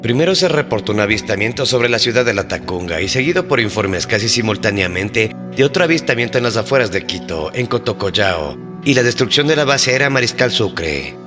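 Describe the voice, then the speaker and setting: A man hosting and narrating a scripted mystery documentary in a measured, suspenseful storytelling manner. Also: fairly low pitch, expressive. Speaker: host and narrator of a mystery channel. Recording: long reverb, faint music behind.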